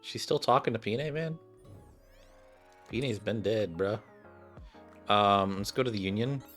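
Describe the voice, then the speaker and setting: A man's voice: on the low side, a little slow, fairly bright tone, soft background music. A man giving slangy, offhand commentary as a gaming streamer. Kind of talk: casual gameplay commentary.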